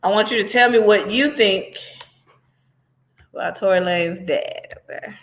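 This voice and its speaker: A woman's voice: medium pitch, moderate pace. A woman host gives casual commentary in a mid-range voice. She speaks directly to her audience, conversational and sardonic, as she reacts to what she shows them.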